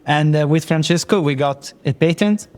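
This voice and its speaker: A man with an Italian accent, a software engineer guest, speaking hesitantly with many fillers and repeats. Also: a little high, moderate pace. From Italy. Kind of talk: casual interview talk.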